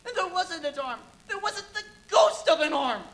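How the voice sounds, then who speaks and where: A woman's voice: very high-pitched, expressive, moderate pace, a bit bright, exaggerated voice. A woman playing a rattled, earnest villager in a live radio play, telling an uncanny tale with dramatic emphasis. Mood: agitated, insistent. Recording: faintly noisy live stage recording.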